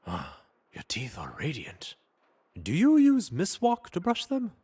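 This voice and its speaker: A quirky older ottoman inventor for a game, slow and really animated, theatrical. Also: a little high, slightly rough, full character voice. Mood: delighted, chatty. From Ottoman Empire. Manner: theatrical, relishing, whimsical. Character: eager eccentric Ottoman inventor, a man, no longer young. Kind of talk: game character dialogue.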